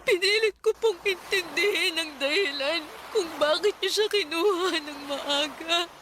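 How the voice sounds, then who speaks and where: High-voiced anguished Filipino mother. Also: a little slow, noisy background. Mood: tearful pleading. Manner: tearful, pleading, emotional. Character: anguished grieving mother pleading with God. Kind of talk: Filipino radio drama acting.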